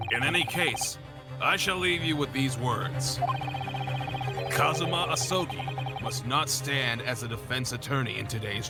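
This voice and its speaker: A man voicing a grave, mysterious adviser in a video game dub, speaking in a measured, formal and ominous way. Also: medium pitch, lightly acted. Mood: solemn. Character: grave, mysterious adviser giving cryptic legal warnings.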